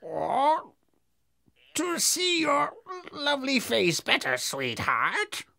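A woman voicing a sly storybook wolf who poses as a sweet girl or granny, in a coaxing, sugary falsetto with a raspy edge. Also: huge pitch swings, slow, bright, forceful tone, full character voice. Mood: fake sweetness. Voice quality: shrill falsetto over a raspy voice. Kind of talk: children's storybook audio acting.

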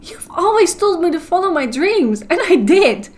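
A woman's voice: extremely high pitch, very animated, moderate pace. An actress playing a hurt but determined young woman in an audio drama, confiding and emotional. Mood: hurt, furious. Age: young adult.

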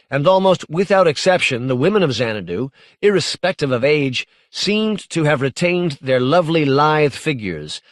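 A man reads literary science fiction in a measured, articulate way. His voice sits a little higher than most men's. The sound is dry, with hardly any room around it. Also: no echo. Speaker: audiobook narrator.

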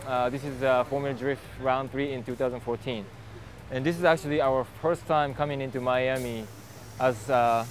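Male racer in his thirties, easygoing, hesitant with fillers, average pitch, recorded outdoors with clear track noise. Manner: relaxed, halting, many fillers. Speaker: professional drift driver. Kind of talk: outdoor interview. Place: outdoor paddock tent at a racetrack. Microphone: lapel mic. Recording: noisy outdoor racetrack recording.

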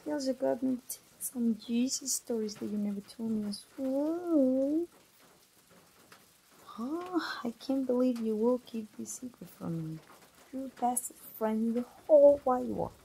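A woman acts out a chatty young best friend in an intimate ASMR roleplay. Her voice is very breathy and husky, with a soft, dark tone and creaky edges. She talks in a playful, familiar way, teasing the listener like an old friend. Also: a little high, measured pace, exaggerated voice, low-quality recording. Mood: teasing, friendly. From Mexico. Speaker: voice actor.

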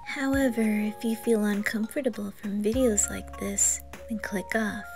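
A woman's voice: medium pitch, fairly even intonation, unhurried, creaky, over music. A woman introducing her ASMR roleplay to listeners as its creator.

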